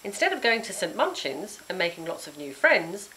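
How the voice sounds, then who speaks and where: A woman narrating a children's storybook in an expressive, dramatic and emphatic way. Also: lowish voice, moderate pace, slight background noise, poor audio. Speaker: author reading their own book.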